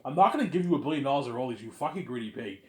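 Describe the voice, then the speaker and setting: Young man, mid pitch, loose off-the-cuff monologue, circling back over his own phrases. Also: animated, rapid-fire. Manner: repetitive, often restarting phrases. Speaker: speaker in a personal series about his planned film. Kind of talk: rambling casual monologue.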